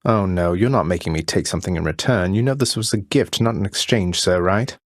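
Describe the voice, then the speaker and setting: An actor playing a wry young prince of the underworld in a video game, courteous with a dry, understated sarcasm. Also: male voice, sits low, brisk, no room sound, lightly acted. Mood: sincere, grateful. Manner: dry, understated, politely sardonic. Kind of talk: video game character voice lines.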